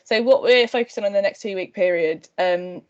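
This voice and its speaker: A woman's voice: mid-range voice, brisk. A woman presenting her team's next steps and taking questions on a video call, speaking casually with many ums. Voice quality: relaxed, filler-heavy delivery with many ums. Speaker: project team member hosting a video-call show and tell. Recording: dull lo-fi video call audio.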